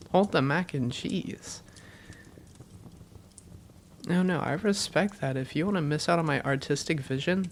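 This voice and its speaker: A woman's voice: low voice, a little hiss or hum. A woman playing an affectionate, teasing young vampire girlfriend in an intimate roleplay audio, playful and tender with her partner.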